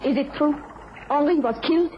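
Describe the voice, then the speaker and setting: Radio widow played by an actress: French accent, proud, formal, fearless, slightly high pitch over noisy sound. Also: female voice, natural voice. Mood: demanding. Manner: formal, deliberate, intense. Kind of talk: radio drama acting. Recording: noisy, dull lo-fi radio recording.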